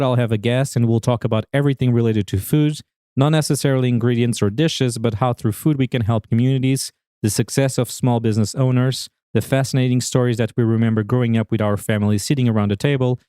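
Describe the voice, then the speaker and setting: Man, portuguese accent, podcast host, mid pitch, dry close sound. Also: mellow, no echo. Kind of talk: podcast interview.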